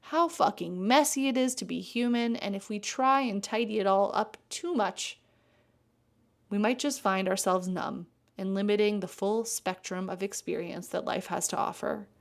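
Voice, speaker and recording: Podcast host, woman, middle-aged, blunt and chatty, mid-pitched voice. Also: moderate pace, gravelly. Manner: frank, swears casually. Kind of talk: casual conversational interview.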